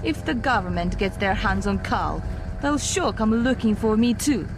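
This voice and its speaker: A woman's voice: medium pitch, expressive, moderate pace, music in the background, lightly acted. A woman playing a tough, jaded, sardonic local girlfriend of a gambler in a video game. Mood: sly, brusque. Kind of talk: video game cutscene acting.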